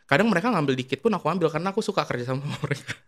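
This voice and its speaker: A man's voice: fairly high pitch, expressive, very fast, a bit bright. A man chatting informally as a podcast guest and skincare creator, mixing English terms into his talk. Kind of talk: casual podcast interview chat.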